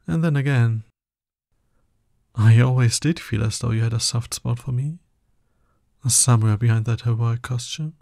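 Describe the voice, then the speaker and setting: A man's voice: medium pitch, normal speed, vocal fry, long pauses, mellow, dry recording, studio quality, natural voice. A man playing a smug, dominant villain in an ASMR roleplay, taunting his hero nemesis with drawling exasperation. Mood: amused taunting.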